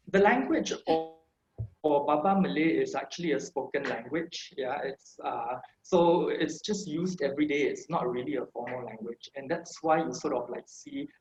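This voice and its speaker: Singaporean man, lightly high voice, easygoing lecturer padding with fillers, roomy dull call audio. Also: darkish tone. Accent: Singaporean English. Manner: chatty, full of "yeah" and "sort of, like" fillers. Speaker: guest speaker on a heritage language. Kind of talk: informal online lecture. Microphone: video call microphone.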